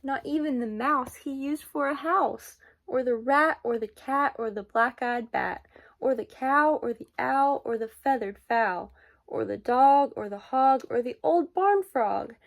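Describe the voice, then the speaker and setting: A woman reading a children's picture book aloud in a deliberate, expressive way, with sing-song emphasis. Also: sits high, creaky. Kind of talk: children's storybook read-aloud.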